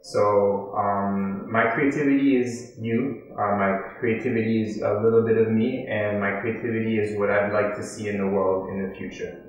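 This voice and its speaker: Reflective Haitian-born man, low voice. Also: fairly even intonation, lo-fi sound. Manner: slow, philosophical, with frequent ums and restarts. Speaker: artist interviewee. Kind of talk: reflective interview answers. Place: art studio with paintings, indoors. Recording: very echoey room with music behind.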